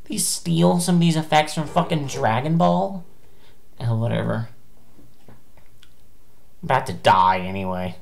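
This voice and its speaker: A young man streams a game from a small room, ranting and cursing at it in a hoarse, gravelly voice. He speaks slowly and low, but his pitch leaps wildly whenever he gets frustrated. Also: expressive. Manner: ranting, swearing, frustrated outbursts. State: losing his voice. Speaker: gameplay streamer. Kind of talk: angry gameplay commentary.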